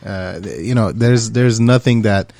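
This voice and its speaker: A man's voice: lowish voice, measured pace, creaky, a little mellow, dry recording. A middle-aged man from Syria, a musician being interviewed, speaking earnestly with many fillers. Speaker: musician guest. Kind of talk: casual interview chat.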